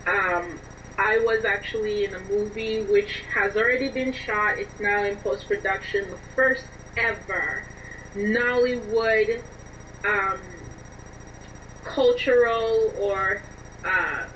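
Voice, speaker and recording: Bright-voiced woman on a dull, distant line. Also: medium pitch, steady tone, slow pace, slight background noise. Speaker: interview guest, scriptwriter and film blogger. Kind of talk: conversational interview answers.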